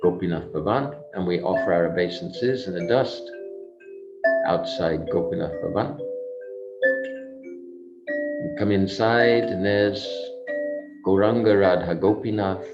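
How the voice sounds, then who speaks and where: Male swami as pilgrimage guide, low voice, halting devotional narration, lo-fi sound with kalimba behind. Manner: halting, musing, fragmentary phrases with repetitions. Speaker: swami guiding a virtual pilgrimage. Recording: dull lo-fi audio. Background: kalimba music, soft mallet-like tones.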